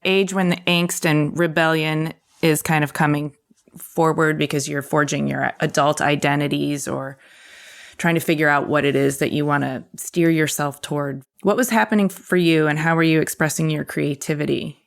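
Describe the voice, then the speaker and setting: A woman hosts a podcast interview in a conversational style. Her voice is a little low, and she comes across as enthusiastic and inquisitive. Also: fairly even intonation, normal speed, creaky.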